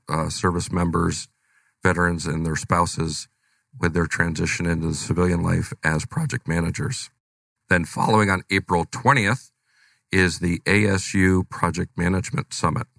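Deep, gravelly male radio host. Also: no room sound. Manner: relaxed, rambling, many fillers. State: has a cold. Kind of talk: casual radio talk. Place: radio studio.

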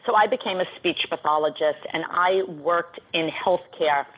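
Husky New York woman on a phone line. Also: fairly low pitch, normal speed. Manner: articulate, explains at length in lists. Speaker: guest, communications coach. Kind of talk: radio interview.

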